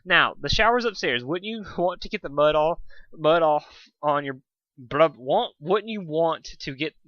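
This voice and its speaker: A man's voice: fairly high pitch, lively intonation, measured pace, no echo, lo-fi sound, voice pushed up. A man voicing an elegant, flirtatious female cartoon unicorn, theatrical, genteel and doting. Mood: insistent, genteel.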